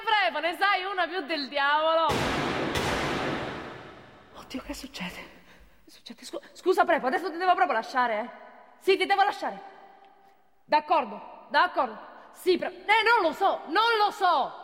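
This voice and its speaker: An actress playing a flirtatious, excitable woman on a phone date in a comic stage monologue, coy, giggly and repeating herself. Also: high voice, a little slow, pauses often, exaggerated voice. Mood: flustered. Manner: coy, flustered, repetitive, giggly. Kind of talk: comic theatre monologue as one side of phone call. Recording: echoey theatre hall, clear background noise.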